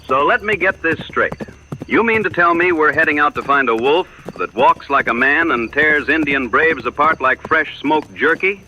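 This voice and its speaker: A man's voice: a little high, normal speed, sharp tone, a little hiss or hum, poor audio. An actor playing a wry, boastful American woodsman sidekick with colorful folksy exaggeration in a western audio drama. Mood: incredulous, wry.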